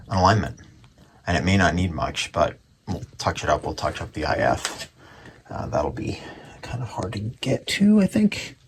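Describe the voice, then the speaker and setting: An American man with a very low voice and plenty of fry talks casually through a repair. He rambles in an offhand, unscripted way. There is some noise behind him in the workshop recording. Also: fairly bright tone. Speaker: hobbyist repairer presenting radio restoration. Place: indoor workshop at a bench.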